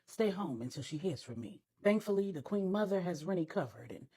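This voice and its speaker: A woman's voice: fairly low pitch, expressive, brisk, warm tone, breathy edge, exaggerated voice. A woman storyteller voicing a worried, street-tough crime family member who talks in a blunt, guarded way. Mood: grave.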